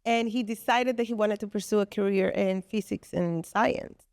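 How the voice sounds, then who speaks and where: Woman, smooth voice. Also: mid-range voice, normal speed, a little mellow, no echo, studio quality. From Dominican Republic. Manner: earnest, explains at length with fillers. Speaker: guest, co-founder of a business space. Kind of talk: podcast interview.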